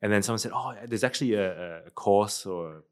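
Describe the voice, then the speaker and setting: A very deep-voiced man tells his story as a podcast guest. He laughs often and gets animated in his telling. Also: a little fast, clear, resonant tone. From Australia. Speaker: guest, company founder. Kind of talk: casual interview chat.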